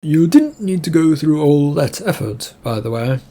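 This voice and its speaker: Man voicing an evasive, husky ship's computer. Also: fairly high pitch, big pitch swings, moderate pace, warm tone, heavily acted. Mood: dismissive.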